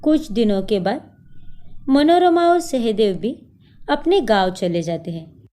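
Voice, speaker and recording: A woman narrates a scripted story. Her voice is on the high side and very mellow, and she takes her time over each sentence. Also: lively intonation, slow.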